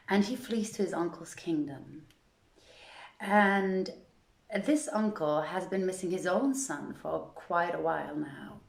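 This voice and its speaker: A woman reading a story aloud in a measured, deliberate storytelling manner, with an informal live feel. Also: on the low side, gravelly, roomy sound. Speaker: reader in a theatre project's online reading series.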